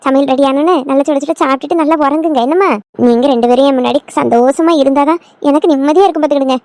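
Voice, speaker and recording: A woman's voice: high voice, very fast, a little mellow, no echo, clean studio sound. A woman playing a doting older village mother-in-law in a family skit, motherly and emotional, with a southern Tamil Nadu accent. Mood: fussing, coaxing. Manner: motherly, fussing, emotional, coaxing.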